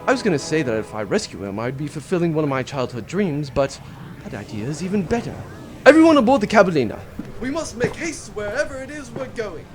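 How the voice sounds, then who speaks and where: A man's voice: fairly high pitch, sing-song, quick pace, soft background music. A man playing a melodramatic crew member of a spaceship in a comedic audio drama. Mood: excited, melodramatic.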